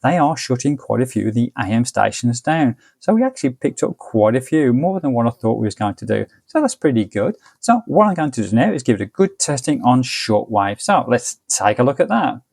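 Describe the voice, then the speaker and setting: A man's voice: medium pitch, big pitch swings, a little fast, vocal fry, hardly any pauses, a little mellow, husky, no echo, clean studio sound. An English man giving a casual, easygoing hands-on product review in colloquial step-by-step commentary. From United Kingdom. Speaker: gadget reviewer.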